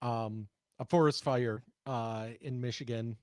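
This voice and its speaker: A man's voice: mid-range voice, fairly even intonation, a little slow, darkish tone, clean tone, no room sound, studio quality. A man co-hosting a talk show, speaking casually and telling stories in a conversational way. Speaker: talk radio co-host, former TV news worker. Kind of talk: casual chat and storytelling.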